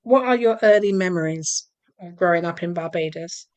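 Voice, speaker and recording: A woman hosting an interview, asking measured, polite questions. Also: on the low side, darkish tone, dull audio. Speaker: interview host.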